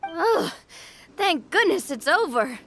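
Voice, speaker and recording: A woman's voice: extremely high pitch, very animated, takes it slowly, a bit bright, exaggerated voice. A woman playing an earnest, brave young female adventurer in a video game, with spirited delivery. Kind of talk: video game character acting.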